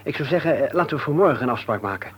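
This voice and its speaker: An actor playing a polite, hesitant young suitor in an old Dutch radio play. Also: male voice, mid-range voice, animated, racing, a bit bright, husky. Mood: guarded. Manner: hesitant, stammering. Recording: dull, lo-fi old radio recording.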